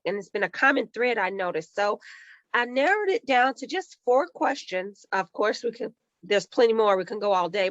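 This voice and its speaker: A hoarse woman who manages client relationships, reading out viewer questions in a friendly, conversational way. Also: medium pitch, a bit bright, dry recording, highs cut off.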